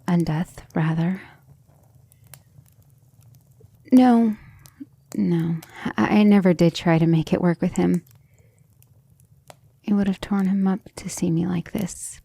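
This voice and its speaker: A woman playing a centuries-old vampire lover in an intimate ASMR roleplay, breathy and often near a whisper, speaking with refined, devoted affection. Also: on the low side, dark tone, exaggerated voice. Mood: adoring, wistful. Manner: affectionate, refined, hesitant musing.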